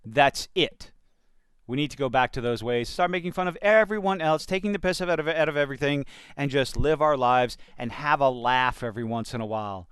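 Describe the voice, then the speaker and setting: A man's voice: medium pitch, a little fast, a bit bright, smooth, no echo, clean studio sound. A man giving opinionated commentary in a blunt, sardonic and emphatic manner. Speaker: commentator reacting to entertainment news. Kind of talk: opinionated commentary with quotes read out.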